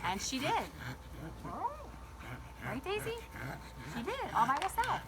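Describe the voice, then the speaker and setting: Higher-voiced woman reading to kids, noisy outdoors. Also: takes it slowly. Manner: animated, addresses young listeners with questions. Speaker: children's read-aloud host. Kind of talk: storybook read-aloud with chatty asides to children. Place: garden, sitting outdoors by a small table fort.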